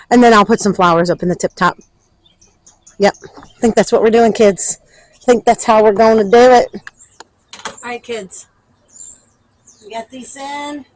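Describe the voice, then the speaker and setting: A woman's voice: medium pitch, a little mellow, rough recording. A woman talking informally to viewers as a gardening vlogger, thinking aloud through a hands-on demonstration.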